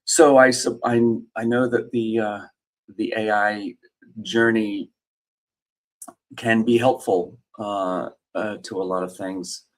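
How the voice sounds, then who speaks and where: A man's voice: fairly low pitch, steady tone, slow, rough recording. A middle-aged man from Australia, hosting a casual live chat and answering viewer comments with many fillers and restarts. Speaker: host.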